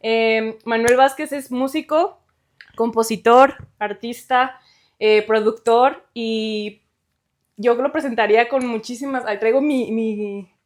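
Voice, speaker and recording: A woman hosting a podcast interview, excitable and quick with short encouraging replies. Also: on the high side. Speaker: podcast host.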